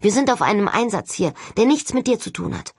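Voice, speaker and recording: A woman's voice: mid-range voice, sing-song, brisk, creaky, breathy edge, no echo, clean studio sound, pitched above the performer's own voice, exaggerated voice. A woman voicing a brusque, insistent young female ghost hunter in audiobook dialogue.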